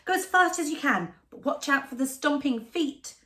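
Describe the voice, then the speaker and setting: A woman voicing a bold, showy male town mouse in a storybook reading, confident and high. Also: expressive, moderate pace, bright, forceful tone. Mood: urgent.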